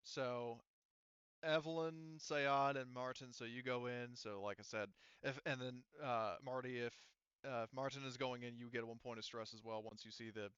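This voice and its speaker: A man's voice: mid-range voice, steady tone, normal speed, fairly bright tone, clear, resonant tone, dry recording, dull audio. A man running a tabletop roleplaying game as game master, explaining things casually.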